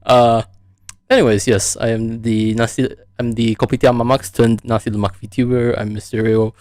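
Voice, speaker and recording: A man's voice: mid-range voice, darkish tone, dry recording, clean studio sound. A man from the United States chatting casually as the host of a live stream podcast.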